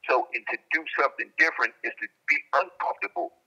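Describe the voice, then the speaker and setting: Very sharp, bright male phone voice. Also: a little high, lively intonation, fast talker, vocal fry. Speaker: interviewed guest, prison inmate calling. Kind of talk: reflective, explanatory conversation. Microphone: telephone. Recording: telephone line from prison.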